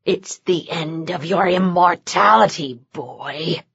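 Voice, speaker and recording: A woman voicing a cruel, taunting male demon in a hushed, whispery voice, drawing out his words slowly for an audiobook. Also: on the low side, creaky, slightly rough, dry recording, voice pushed down, full character voice. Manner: slow, drawn-out menace. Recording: dull lo-fi dry audio.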